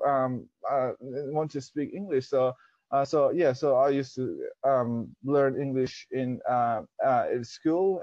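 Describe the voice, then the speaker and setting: A man's voice: mid-range voice, dark tone, no room sound, dull audio. Hesitant young man, native Dari speaker. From Pakistan. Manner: hesitant, many fillers and restarts. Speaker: interview guest. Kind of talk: reflective personal storytelling.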